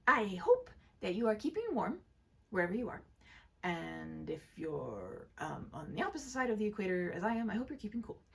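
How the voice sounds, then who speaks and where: A woman hosting a read-aloud, chatting casually in a gentle, rambling, expressive way. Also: mid-range voice, a little fast, gravelly, slightly rough. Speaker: storyteller and channel host. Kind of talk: casual chatty commentary and story narration.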